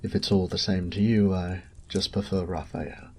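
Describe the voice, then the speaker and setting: A man playing a courteous, low-voiced noble knight captain. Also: fairly even intonation, moderate pace, darkish tone, a little hiss or hum. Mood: affable, formal. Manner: formal, polished, genteel phrasing. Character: courteous noble older brother, knight captain, formal and probing. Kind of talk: scripted fantasy audio roleplay.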